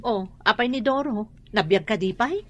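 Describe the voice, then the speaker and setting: A woman's voice: on the high side, moderate pace, lo-fi sound, natural voice. A woman with a Filipino accent playing a preoccupied, touchy mother in a radio drama. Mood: curious, teasing.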